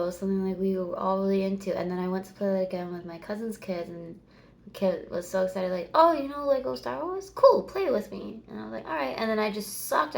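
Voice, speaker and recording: Rambling gravelly-voiced woman in her thirties. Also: medium pitch. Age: 30s. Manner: chatty, meandering, laughs and swears casually. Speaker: vlogger. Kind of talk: rambling casual chat.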